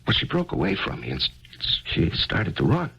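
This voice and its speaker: A man's voice: on the low side, wildly swooping pitch, brisk, gravelly, fairly bright tone, slightly rough, exaggerated voice. An actor playing a grieving middle-aged man, hesitant and stammering, earnest and unsure, in a 1970s radio drama. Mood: anxious. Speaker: lead character and narrator. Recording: dull old radio broadcast with music.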